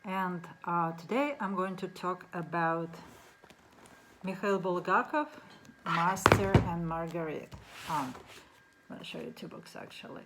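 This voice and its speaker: A middle-aged woman speaks English with a Russian accent, her voice sitting a little low. She talks in an unhurried, casual way, filling pauses with ums and uhs. A faint background hiss sits under her voice, recorded indoors on a camera mic. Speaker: book reviewer. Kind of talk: casual book talk to camera.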